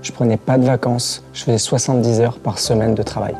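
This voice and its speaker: A man's voice: mid-range voice, level tone, fairly quick, warm tone, soft background music. A man in his thirties delivering a promotional testimonial as an entrepreneur. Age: 30s.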